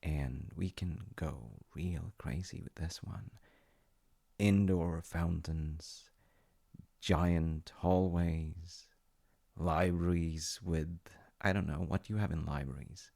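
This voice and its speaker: A man playing a playful, tender wizard fiancé in an intimate ASMR-style audio roleplay, speaking to the listener in a gentle, coaxing way. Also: deep voice, steady tone, slow pace, vocal fry, exaggerated voice. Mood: playful, enthusiastic. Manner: gentle, coaxing, often dropping into whispers. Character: playful, tender male wizard fiancé showing off magical home.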